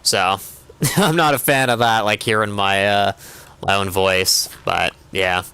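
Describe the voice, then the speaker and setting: Young man, game streamer, low bright smooth voice, rambling casual chat full of fillers. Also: steady tone, unhurried. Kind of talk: casual chat while gaming.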